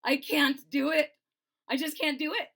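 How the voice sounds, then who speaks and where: A woman voicing a nervous little male bean with a fretful, quavering manner and shrill cries. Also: level tone, normal speed, gravelly, pushed and bright, heavily acted. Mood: panicked.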